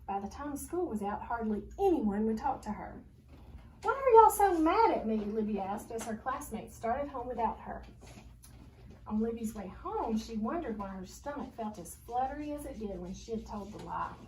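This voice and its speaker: A woman teacher reading a children's story aloud with expressive narration. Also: on the high side, normal speed. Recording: noisy, distant microphone.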